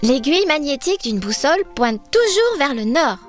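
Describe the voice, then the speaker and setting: Very high, lively cartoon girl. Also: moderate pace, faint music behind. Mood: confident, eager. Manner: animated, explanatory, eager. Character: plucky, adventurous young girl explorer, cheerful leader. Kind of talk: children's cartoon acting.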